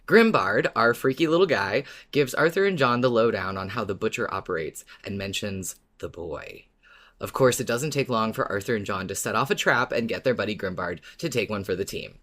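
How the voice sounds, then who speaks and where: A man's voice: on the low side, lively intonation, a little fast, fairly bright tone. A man co-hosting a fan podcast, chatting and recapping with playful, wry enthusiasm. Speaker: podcast co-host.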